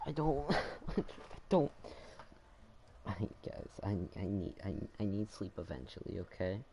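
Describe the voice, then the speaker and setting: A young man commenting casually on his gameplay. His low voice moves around a lot, and he halts and repeats himself informally. The home mic recording is clearly noisy, with clicks. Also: sing-song. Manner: halting, repetitive, informal. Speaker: gaming streamer.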